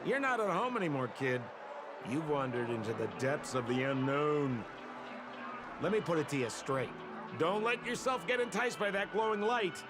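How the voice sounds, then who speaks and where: An actor playing a flamboyant, teasing trickster in a video game, theatrical and mocking, with a smugly cryptic, insinuating manner. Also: male voice, on the high side, expressive, moderate pace, natural voice. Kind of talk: video game character dialogue. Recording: background music under the voice.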